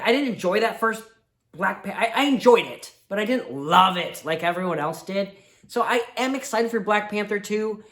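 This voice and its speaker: A man's voice: high-pitched, fairly bright tone. A man hosting a casual movie review show, sick, sniffling and with his voice fading, talking in a rambling, heartfelt way. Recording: roomy echo.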